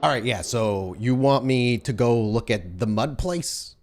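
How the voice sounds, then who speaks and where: A man's voice: mid-range voice, expressive, measured pace, background noise, close to an everyday voice. Man playing a lazy, flippant vampire lackey. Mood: crude, musing. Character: cheeky, slouchy male vampire errand-runner, crude and flippant.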